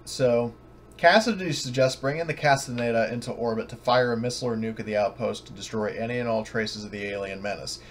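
A man narrating an online tabletop roleplaying game as game master, sometimes halting, over voice chat. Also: medium pitch, a little hiss or hum.

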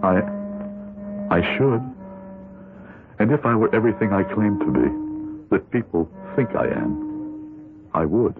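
Deep-voiced, guilt-ridden American accountant. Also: male voice, very animated, slow pace, pauses often, heavily acted. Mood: brooding. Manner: hesitant, brooding. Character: outwardly respectable accountant hiding guilt, anxious and calculating. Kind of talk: 1970s radio drama acting, with inner monologue. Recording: muffled old radio broadcast with music behind.

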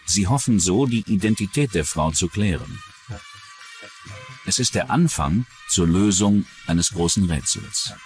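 A man's voice: deep voice, expressive, a little slow, a little mellow, faint music behind. A man narrating a scripted true-crime documentary.

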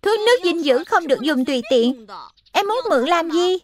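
A woman's voice: extremely high pitch, animated, fairly quick. A woman voicing a wise young creature, an instructive big brother figure in a dubbed children's cartoon, with an admonishing manner. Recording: echoey, music behind the voice.

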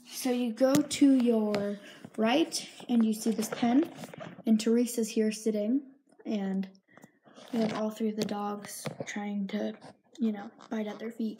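A woman's voice: medium pitch, mellow, slight background noise, rough recording. A young girl chatting casually as she films a tour of her toy horse barn at home, sometimes dropping to a whisper. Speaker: vlogger. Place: home room, indoors. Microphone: phone held in hand while filming.

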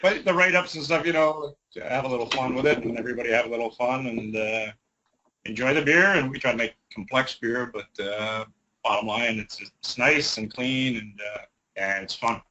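Upbeat bright-voiced brewer, lo-fi. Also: male voice, medium pitch, moderate pace, a little hiss or hum. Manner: easygoing, rambling, upbeat. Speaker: brewery guest. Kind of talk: casual interview chat. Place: office.

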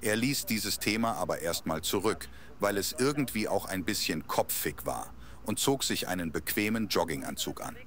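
A man narrating a scripted audio drama in a measured storybook delivery. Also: fairly low pitch, expressive, a bit bright.

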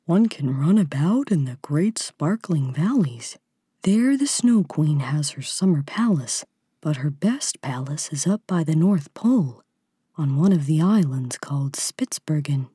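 A woman voicing a grave, knowing male reindeer in a storybook, speaking in a measured, proud way. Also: low voice, animated, warm tone, breathy edge, no echo, clean studio sound, voice pushed down, heavily acted. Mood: proud, wistful. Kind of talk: storybook character voice.

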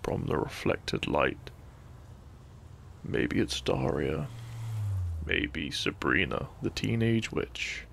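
A man narrating a gentle bedtime story in ASMR style, soft, breathy and soothing, often close to a whisper. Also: low voice, expressive, slow pace, long pauses, slight background noise. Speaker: sleep-aid audio narrator.

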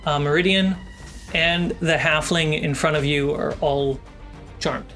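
A game master with a mid-pitched voice narrates a tabletop role-playing session, describing scenes and calling for rolls. A clear, prominent musical score runs beneath the voice. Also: steady tone, unhurried. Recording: loud background music under the voice. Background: cinematic musical score.

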